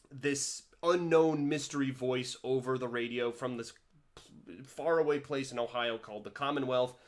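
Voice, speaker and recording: A man giving a casual commentary vlog, rambling with many restarts and swinging his pitch and loudness animatedly. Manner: rambling, with frequent restarts and stumbles. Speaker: vlogger reviewing a TV series.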